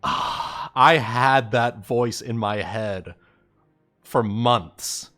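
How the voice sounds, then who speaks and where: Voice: mid-range voice, drawn-out words, pushed and bright. A game livestream player chatting casually in a joking, sweary way. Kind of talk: casual chat.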